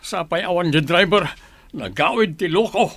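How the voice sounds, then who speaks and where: A man's voice: sits high, moderate pace, fairly bright tone. Man acting a worried, commanding Ilocano employer. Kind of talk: Ilocano radio drama acting.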